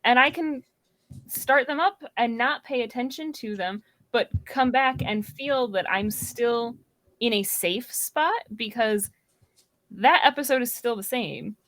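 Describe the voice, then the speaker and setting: A woman's voice: on the high side, a little slow, a bit bright, dry recording. A woman in a casual, conversational discussion as part of a podcast panel. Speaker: podcast guest or co-host.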